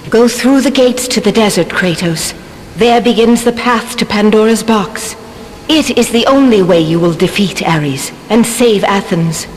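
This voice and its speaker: A woman voicing a solemn oracle in a video game, portentous, measured and formal. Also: mid-range voice, darkish tone, close to an everyday voice, low-quality recording. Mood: ominous, urgent. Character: solemn oracle warning a warrior of peril. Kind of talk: video game cutscene voice acting.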